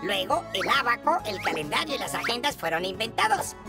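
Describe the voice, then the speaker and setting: A woman's voice: mid-range voice, animated, brisk, over loud music, natural voice. A woman voicing an instructive, orderly cartoon teacher who explains things didactically in a children's educational dub. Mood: explanatory.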